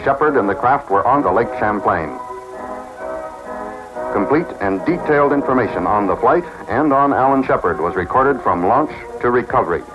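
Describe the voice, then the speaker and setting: A man's voice: mid-range voice, expressive, moderate pace. American male narrator, mid-range voice, formal vintage documentary read, dull old film sound with prominent music.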